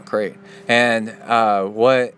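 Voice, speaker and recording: A man's voice: on the low side, fairly even intonation, extremely slow, fairly bright tone, slight background noise. A middle-aged man hosting a podcast outdoors in a park, talking in a loose, chatty way with jokey asides. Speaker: podcast host and triathlon coach. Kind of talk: casual rambling podcast monologue.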